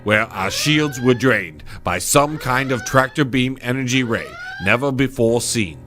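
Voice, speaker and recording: A man playing a resolute starship captain in a sci-fi audio drama, authoritative and clipped in his delivery. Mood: grave log. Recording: loud music and engine rumble behind.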